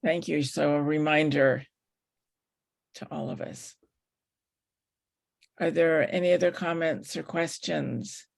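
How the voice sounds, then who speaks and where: A man's voice: fairly high pitch, steady tone, slow, creaky, long pauses. A man moderating an online meeting, talking informally and adding his own comments. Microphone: video call.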